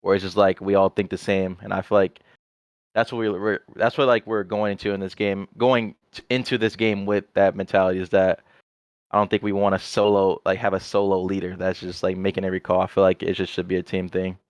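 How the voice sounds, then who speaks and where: A man's voice: low voice, level tone, fairly quick, clear, resonant tone, dry recording. A young man, a guest pro player, chatting casually on a podcast and rambling with lots of "like". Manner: rambling, heavy use of "like". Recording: slightly muffled audio.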